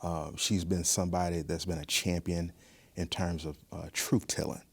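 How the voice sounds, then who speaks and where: A man giving measured, earnest answers as an interview guest. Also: deep voice, little pitch movement, vocal fry, breathy edge. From Mississippi, United States. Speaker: museum director, interview guest.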